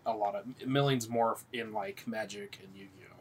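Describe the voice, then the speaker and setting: A man's voice: fairly low pitch, moderate pace, a little mellow, husky. A young man chatting casually on a podcast, blunt and offhandedly swearing. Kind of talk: casual chat.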